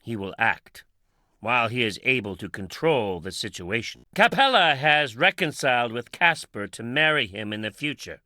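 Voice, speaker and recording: An actor playing a grave, brooding middle-aged patriarch in a video game, speaking solemnly in formal, stilted phrases. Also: male voice, medium pitch, big pitch swings, normal speed, pushed and bright, no room sound, studio quality. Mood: grave, ominous. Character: grave, brooding town patriarch guarding his wife's memory. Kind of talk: dramatic video game voice acting.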